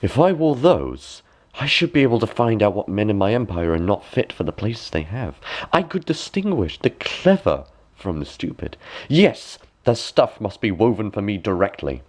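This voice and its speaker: A vain, self-assured storybook emperor, put on by a male narrator. He declaims with satisfaction, his voice lifted and moving in broad, lively sweeps. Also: on the low side, moderate pace, voice pushed up. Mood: eager, pleased.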